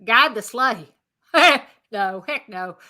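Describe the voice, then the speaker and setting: A woman's voice: a little high, lively intonation, slow, sharp tone, heavily acted. A woman voicing a sassy female reindeer who has allergies. She talks in slang, says "girl" and "ain't", and drops puns.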